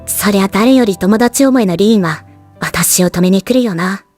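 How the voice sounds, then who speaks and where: A woman voicing a stoic, battle-hardened female death god in an anime drama, with measured, composed dramatic delivery. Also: mid-range voice, darkish tone, faint music behind, lightly acted. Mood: reflective. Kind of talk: anime voice drama, scripted narration and dialogue.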